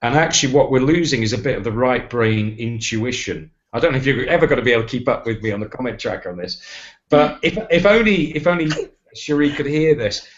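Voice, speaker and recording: Man, mid pitch, rambling, stammering, muffled call sound. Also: expressive, fairly quick. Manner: rambling, self-deprecating, stammers. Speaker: host. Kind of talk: casual online panel chat. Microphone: video call. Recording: dull lo-fi video call audio.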